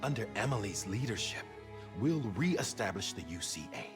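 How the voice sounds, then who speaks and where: An actor playing a solemn, idealistic government official in a video game, talking in a measured, speechifying way. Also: male voice, lowish voice, sing-song, over music. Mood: stately. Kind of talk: video game cutscene acting.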